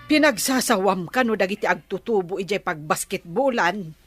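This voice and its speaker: An actress with an Ilocano accent playing the exasperated, nagging wife of a village captain in a comedy radio drama. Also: female voice, mid-range voice, animated, normal speed, slightly rough, no room sound, soft background music. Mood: scolding. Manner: nagging, scolding.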